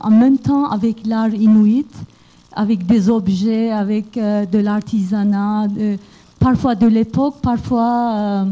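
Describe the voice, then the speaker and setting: Audience member, woman, fairly high yet mellow voice, halting speech full of fillers. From Canada. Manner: hesitant, with frequent fillers. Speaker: audience member asking a question. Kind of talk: question from the floor. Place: lecture hall with an audience.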